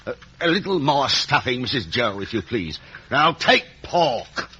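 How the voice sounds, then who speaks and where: An actor playing a pompous, gluttonous middle-aged uncle who sermonizes ponderously in a 1950s radio drama. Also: male voice, medium pitch, sing-song, unhurried, sharp tone, slightly rough, full character voice. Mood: pompous, scolding. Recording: muffled vintage radio broadcast.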